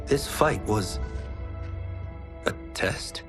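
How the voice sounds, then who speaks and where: Modest young village fighter, slow but expressive, sincere, game cutscene w soft music. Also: male voice, fairly low pitch. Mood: puzzled. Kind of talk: video game cutscene acting.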